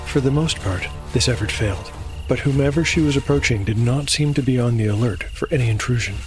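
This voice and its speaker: A man hosting and narrating a scripted dark fantasy tale in a measured, solemn storytelling manner. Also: mid-range voice. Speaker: host and narrator. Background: background music.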